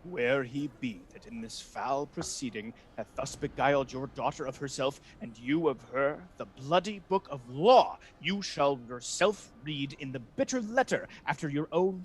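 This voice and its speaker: A man's voice: medium pitch, lively intonation, unhurried, few pauses, fairly bright tone, slightly rough, low-quality recording. A man playing an authoritative, courteous Duke of Venice in a Shakespearean radio play, speaking formally and decisively. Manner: formal, commanding. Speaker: amateur actor in an online radio play. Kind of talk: Shakespearean verse acting for radio. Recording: faint background noise on online call.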